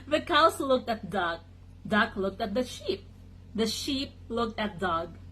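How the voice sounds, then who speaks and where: A woman storyteller reads a children's picture book with dramatic expression. Her voice is high and husky, swinging widely in pitch as she guides young listeners through the tale. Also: sing-song, takes it slowly, pushed and bright. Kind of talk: animated children's read-aloud.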